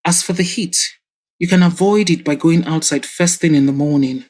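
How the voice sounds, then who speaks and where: A woman voicing a patient grown daughter who reasons calmly and firmly with her father. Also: fairly low pitch, normal speed, close to an everyday voice. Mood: coaxing. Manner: calm, coaxing, reasoning. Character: grown daughter, patient and firm, fashion designer.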